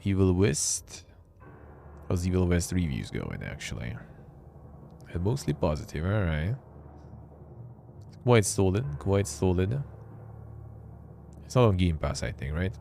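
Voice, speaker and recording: A man's voice: low voice, sing-song, unhurried, gravelly, pauses often, warm tone, slight background noise. A man hosting a live stream, chatting offhandedly and reading text aloud with wry asides. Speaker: streamer and show host. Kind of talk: casual live-stream commentary.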